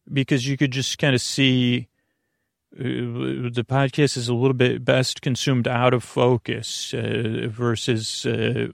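A man hosting a sleep podcast in a meandering, halting monologue, often losing his thread. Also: mid-range voice, creaky, no room sound, clean studio sound. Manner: meandering, halting, loses his train of thought. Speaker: podcast host.

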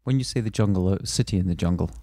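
A man hosting a podcast, reading a measured guest introduction and then asking interview questions. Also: deep voice, dark tone, no room sound, clean studio sound. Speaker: podcast host.

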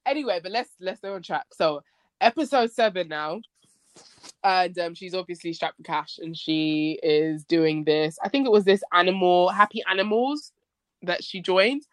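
A woman hosting a casual podcast chat about a TV series, expressive and slangy. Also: on the low side, moderate pace, no echo. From Nigerian background. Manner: expressive, slangy, acts out quoted lines. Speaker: podcast host.

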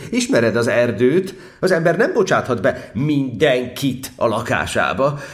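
A man's voice: medium pitch, big pitch swings, lightly acted. A man playing a polite, cautious, slightly fussy rabbit in an audio drama, speaking formally and courteously. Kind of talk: audio drama character acting.

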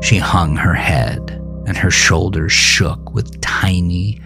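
A male storyteller with a very deep, gravelly voice narrates horror fiction. He is ominous and deliberate, taking his time over each phrase while music plays underneath.

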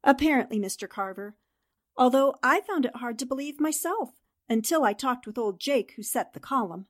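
Woman doing an old-time radio girl reporter, high voice, earnest n direct, close dry sound. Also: expressive, normal speed, dry recording. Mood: inquisitive. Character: composed, inquisitive female newspaper columnist. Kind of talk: 1940s radio drama acting.

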